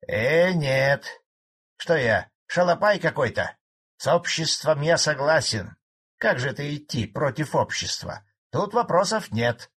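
A man's voice: a little high, expressive, measured pace, fairly bright tone, voice pushed up, exaggerated voice. Man putting on a cranky old farmer. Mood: grumbling. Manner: gruff, defiant ranting. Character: grumpy old male collective farmer, spiteful.

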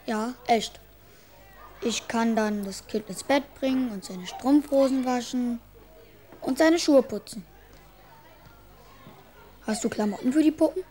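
A woman's voice: fairly high pitch, measured pace, pauses often, dark tone, lightly acted. A woman playing an imaginative, secretive and stubborn little schoolboy in a children's radio play, cheeky and musing in manner. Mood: casual.

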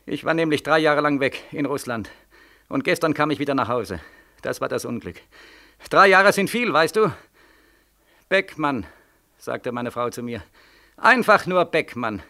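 Young war returnee, bright forceful higher voice, bitter sarcasm, despairing, vintage radio drama. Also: male voice, lively intonation, measured pace, long pauses, heavily acted. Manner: bitterly sarcastic, emphatic, repeating phrases. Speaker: actor in a radio play. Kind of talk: 1940s German radio play acting. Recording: vintage 1940s radio studio recording.

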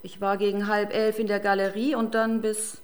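Discreet private doctor for radio crime drama, played by a woman: mid-pitched, formal, firm, guarded. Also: little pitch movement, moderate pace, natural voice. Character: discreet, firm female private doctor shielding her patient.

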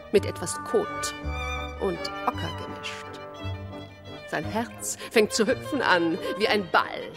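An actress playing a rapt, dreamy narrator in a poetic radio play, speaking in lyrical, drawn-out phrases. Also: female voice, medium pitch. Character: dreamy, rapt woman narrator of a surreal inner world. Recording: loud violin music behind the voice. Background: violin music.

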